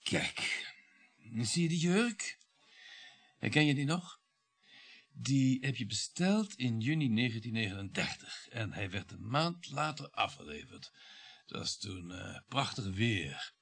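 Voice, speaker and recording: An actor playing a sardonic, irritable middle-aged surgeon and husband in a radio play, with a needling manner. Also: male voice, medium pitch, sing-song, measured pace, gravelly, fairly bright tone, slightly rough, exaggerated voice. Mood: sardonic, reminiscing.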